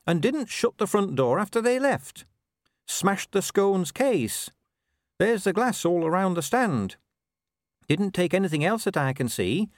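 A man voicing an earnest young female dwarf watch officer who reports in a brisk, eager way. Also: medium pitch, a little mellow, no echo, clean studio sound, voice pushed up, close to an everyday voice.

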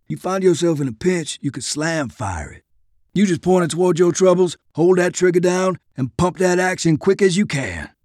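A man's voice: high voice, a little mellow, no echo, studio quality. A man voicing a folksy, confident army corporal in an audiobook war story, with a rural Southern American drawl and a colloquial, instructive way of talking. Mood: proud, generous. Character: folksy, confident soldier corporal, good with guns.

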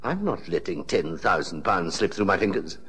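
An actor playing a menacing, mock-courteous blackmailer with a violent temper in a radio drama. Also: male voice, low voice, big pitch swings, sharp tone, slightly rough, exaggerated voice. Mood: threatening. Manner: mock-courteous, deliberate, threatening.

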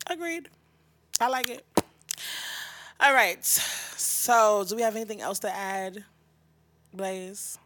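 A woman's voice: medium pitch, takes it slowly, pauses often, no echo. A woman co-hosting a talk show, chatting casually and slangily with plenty of swearing. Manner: animated, slangy, heavy swearing. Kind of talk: casual unscripted chat.

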